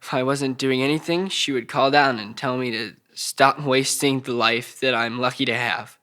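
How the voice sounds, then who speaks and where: A troubled young man, voiced by a male actor at a drama table read, speaks with a childlike plainness. He stammers and halts as he recounts painful memories, at a middling male pitch. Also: steady tone, normal speed, pushed and bright, clear, resonant tone, lightly acted. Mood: desperate, haunted.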